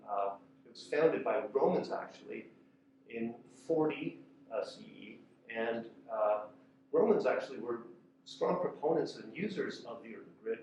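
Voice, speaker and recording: A man's voice: medium pitch, a little mellow, a little hiss or hum. Guy giving a talk with slides, lots of uhs, far from the mic, big roomy echo. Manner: conversational, with filler "uh" and restarts. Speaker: conference speaker. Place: lecture room. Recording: echoey room from far off.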